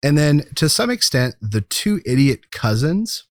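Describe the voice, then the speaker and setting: A man's voice: fairly high pitch, very animated, a little slow, no echo, clean studio sound. A man co-hosting a podcast, making his case about movies in casual, emphatic, opinionated talk. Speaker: podcast co-host. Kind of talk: casual film commentary, arguing a case.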